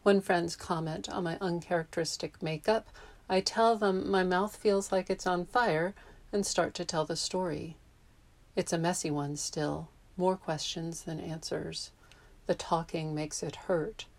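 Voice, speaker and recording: A woman in her fifties, born in Japan and raised in the US, reading a personal essay aloud in a measured, reflective way. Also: lowish voice, steady tone.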